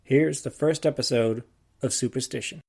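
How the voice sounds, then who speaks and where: A man's voice: mid-range voice, moderate pace, vocal fry, darkish tone, breathy edge. A man reading a scripted introduction as a podcast host.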